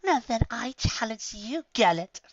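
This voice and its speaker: A woman's voice: fairly high pitch, very animated, a little slow, dry recording, lo-fi sound, voice pushed up. A woman voicing a refined, proud female unicorn in a haughty, theatrical and emphatic manner for a story reading. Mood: defiant.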